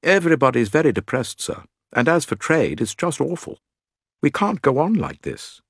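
A man's voice: medium pitch, sing-song, moderate pace, gravelly, slightly rough, dry recording, studio quality, pitched above the performer's own voice, exaggerated voice. A man playing a polite, unflappable English manservant who speaks deferentially, in a story reading. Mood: respectful, gloomy.

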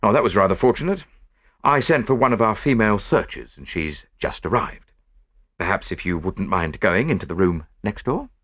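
A man's voice: on the low side, expressive, normal speed, fairly bright tone, dry recording, clean studio sound. A man playing a practical, authoritative English police inspector in a storybook reading, plain-spoken and businesslike.

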